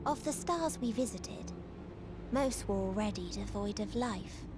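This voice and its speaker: A woman voicing a melancholy, otherworldly girl in a game, solemn, soft and deliberate. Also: mid-range voice, expressive, background noise, close to an everyday voice. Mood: sorrowful conviction. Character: melancholy otherworldly girl preaching salvation through nonexistence.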